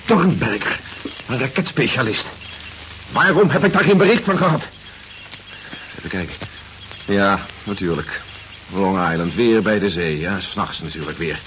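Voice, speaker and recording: A terse army general, dull old recording. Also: male voice, fairly low pitch, lively intonation, moderate pace, pauses often, fairly bright tone. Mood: grim, worried. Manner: terse, authoritative. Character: weary, commanding male army general on alert. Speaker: actor in a radio play. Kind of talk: 1970s science fiction radio drama acting. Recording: dull 1970s radio recording, faint hiss.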